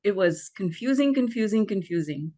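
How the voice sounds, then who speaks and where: A middle-aged woman from Pakistan, a guest on a podcast, telling her life story in relaxed conversation and laughing often. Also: medium pitch, moderate pace. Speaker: guest, life coach and author.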